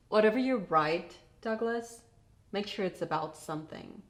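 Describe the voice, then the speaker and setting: A woman's voice: mid-range voice, lively intonation, slow pace. Woman as a coy young teaching assistant. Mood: wry, teasing. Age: young adult. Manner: coy, wry. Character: teasing, bookish young female teaching assistant. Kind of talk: comedy web series acting.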